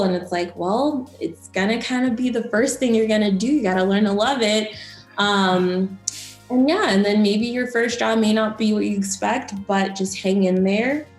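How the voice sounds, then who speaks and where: Chatty young woman, echoey room. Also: mid-range voice. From Florida. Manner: chatty, encouraging, many fillers. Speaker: broadcast journalist and news anchor. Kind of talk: casual interview advice. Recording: roomy echo, faint music.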